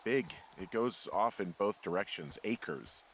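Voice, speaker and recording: A man playing a wary, sardonic fire lookout in a naturalistic video game style, halting and gruffly irritable. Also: lowish voice, expressive, slight background noise. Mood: wary, dry. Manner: dry, sarcastic, halting, swears freely.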